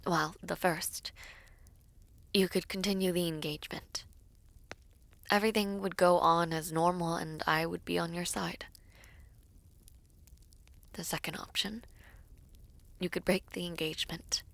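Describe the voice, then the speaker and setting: A woman playing a devoted princess in an audio roleplay, tender and formal, with measured, hesitant phrasing. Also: on the low side, steady tone, creaky, fairly bright tone, no room sound. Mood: tender, earnest. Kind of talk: intimate audio roleplay acting.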